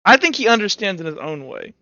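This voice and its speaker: Male game master, high clear voice, informal and halting narration, slightly dull dry recording. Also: big pitch swings, sharp tone, dry recording. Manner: halting, informal delivery with many "like"s. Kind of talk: improvised tabletop roleplay narration; casual chat with players.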